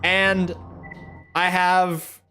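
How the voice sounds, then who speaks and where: A man's voice: high-pitched, little pitch movement, extremely slow, sharp tone, soft background music. A man in his early twenties streams a video game and chats casually, rambling and joking at his own expense. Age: early 20s. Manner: rambling, joking and self-mocking. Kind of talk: casual chat while gaming.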